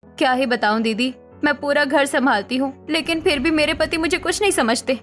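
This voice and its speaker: Caring young daughter-in-law female actor, high-pitched, animated family drama with music bed. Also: fairly quick. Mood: downcast.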